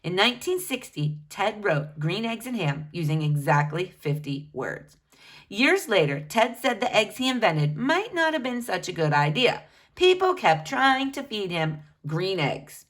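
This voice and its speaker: A woman's voice: medium pitch, very animated, unhurried. A woman reading a children's biography book aloud, speaking to children in a teacherly way with pointed emphasis. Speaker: read-aloud storyteller for children.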